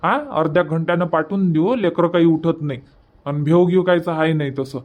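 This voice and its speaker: A man voicing a practical, impatient village husband in a comic cartoon, brisk and persuasive, with a Varhadi Marathi accent. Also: a little high, steady tone, narrow, tinny audio, natural voice. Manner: brisk, persuasive, matter-of-fact.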